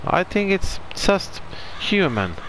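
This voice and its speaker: A man's voice: high-pitched, sing-song, slow, noisy background. A man with a Finnish accent, a non-native English speaker, doing casual commentary over farming gameplay and searching for his words. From Finland. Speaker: gaming channel host.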